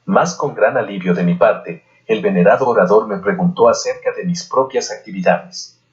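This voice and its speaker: A man's voice: on the low side, moderate pace. A man reading a historical novel aloud as scripted audiobook narration, in a dull, lo-fi recording. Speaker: audiobook reader.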